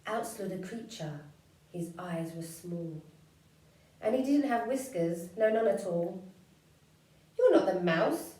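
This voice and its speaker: Slow, mellow woman reading a storybook. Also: lowish voice. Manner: slow, rhythmic rhyming storytelling. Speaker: children's story reader. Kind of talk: reading a rhyming picture book aloud. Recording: echoey room, far from mic.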